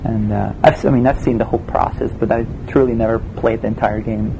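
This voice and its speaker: A man with a Swiss German accent, a game production designer answering interview questions in a relaxed, hesitant way with many fillers. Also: mid-range voice, fast talker, creaky, background noise. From Switzerland.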